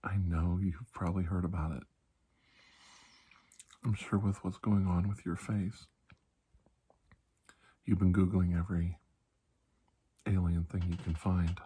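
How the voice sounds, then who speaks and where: A man playing a calm, kindly doctor in a soft-spoken ASMR roleplay, reassuring and deliberate, with long pauses and breathy slips into whispering. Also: deep voice. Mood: hushed, confiding. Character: calm, kindly, ageless male doctor of alien medicine.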